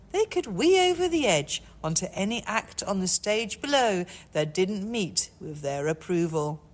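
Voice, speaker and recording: A woman author reading her own novel aloud in a scripted storybook narration style. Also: lowish voice. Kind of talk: storybook narration and casual chat. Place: chilly old music hall auditorium.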